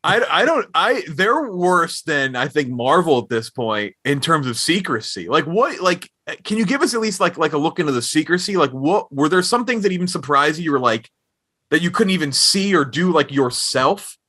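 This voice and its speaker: A man's voice: on the high side, big pitch swings, normal speed, bright, forceful tone, breathy edge. An American man hosting a podcast, interviewing casually with wordy, rambling questions that often restart. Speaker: entertainment podcast host and interviewer. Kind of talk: casual, conversational film interview.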